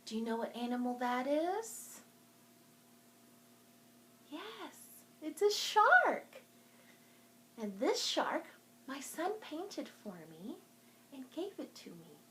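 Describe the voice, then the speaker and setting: A woman's voice: high voice, very animated, takes it slowly, long pauses, bright, forceful tone. A woman speaking to young children as a storytime host, in a sing-song, encouraging way, showing and explaining things. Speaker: children's librarian hosting a virtual storytime. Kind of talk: talking to young children in a craft and show-and-tell video.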